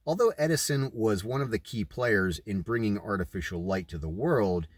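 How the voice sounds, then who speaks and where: A man reading a book aloud as a narrator, in a deliberate way with informal asides. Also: on the low side, expressive, no echo, studio quality.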